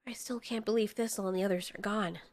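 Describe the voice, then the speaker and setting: A woman's voice: mid-range voice, steady tone, fast, darkish tone, close to an everyday voice. A woman voicing an earnest, anxious female giant moth monster who pleads and exclaims, in an audio story. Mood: troubled.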